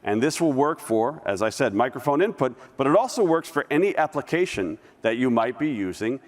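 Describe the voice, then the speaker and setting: A man presenting a live software demo on stage, talking casually and restarting sentences as he clicks through. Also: medium pitch, animated, fairly quick. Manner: conversational, with restarts while demoing. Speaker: conference presenter. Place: large conference hall stage. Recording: long reverb of a large hall.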